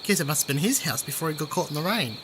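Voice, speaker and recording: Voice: medium pitch, lively intonation, rapid-fire, fairly bright tone, slight background noise, close to an everyday voice. An actor playing a naive, chatty scarecrow only a day old in an audio drama, eager, innocent and easily puzzled.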